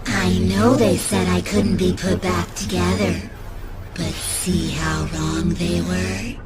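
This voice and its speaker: A woman's voice: on the low side, level tone, slow pace, creaky, over loud music. A woman voicing a broken female fox animatronic in a video game, theatrical and sweetly deranged, drawing out her menacing words. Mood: sly menace. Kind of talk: video game character voice lines.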